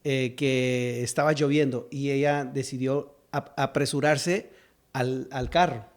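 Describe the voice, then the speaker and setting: A man's voice: mid-range voice, steady tone, measured pace. A man in his fifties from South Texas, a pastor and guest, telling stories from his life in a hesitant, restarting way with easy laughter. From South Texas, United States. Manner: hesitant, with frequent fillers and restarts, laughs easily. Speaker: pastor and singer-songwriter, guest. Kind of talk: conversational storytelling.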